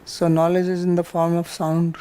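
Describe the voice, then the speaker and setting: Mellow, even-toned Indian male teacher. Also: fairly high pitch, normal speed, clear, resonant tone. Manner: didactic, repeats points, uses simple examples. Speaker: spiritual teacher. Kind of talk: explanatory scripture class.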